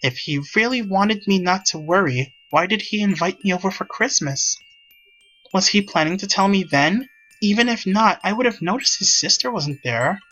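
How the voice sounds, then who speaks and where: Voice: mid-range voice, expressive, moderate pace, fairly bright tone, dull audio, soft background music, pitched above the performer's own voice, natural voice. A reader voicing a defensive, easily flustered tsundere schoolgirl with a huffy manner for a visual novel. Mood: worried, disbelieving. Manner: huffy, stammering, indignant. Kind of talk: visual novel character reading.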